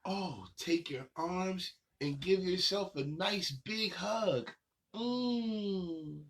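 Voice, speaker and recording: High-voiced, slow-talking man leading kids' exercises. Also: gravelly, fairly bright tone. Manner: encouraging, drawn-out instructions. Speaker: children's show host leading warm-up exercises. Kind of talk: guided movement session for children.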